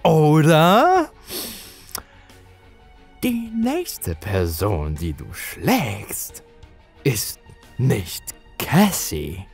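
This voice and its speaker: A man voicing a menacing, gloating villain with uncanny power, taunting in drawn-out words for a horror story. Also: medium pitch, huge pitch swings, breathy edge, faint music behind, pitched above the performer's own voice, full character voice. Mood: gloating threat.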